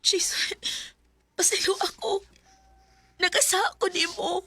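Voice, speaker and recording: Sorrowful young married woman, Bisaya Filipino accent, high-pitched, emotive old radio drama delivery, background music. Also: little pitch movement, unhurried, vocal fry, bright, forceful tone, no echo, exaggerated voice. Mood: tearful, pleading. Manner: emotive, plaintive.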